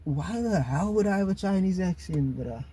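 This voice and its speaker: A man's voice: high voice, animated, normal speed, a loud crowd. A man, co-hosting a casual podcast, laid-back and musing, often trailing off mid-thought. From South Africa. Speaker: podcast co-host.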